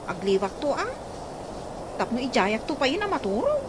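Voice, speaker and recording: A woman's voice: high-pitched, sing-song, moderate pace, very noisy. A woman playing a concerned grown daughter in a radio comedy drama, questioning and reproachful. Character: concerned, chiding grown daughter of an old village couple. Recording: loud music under the voice.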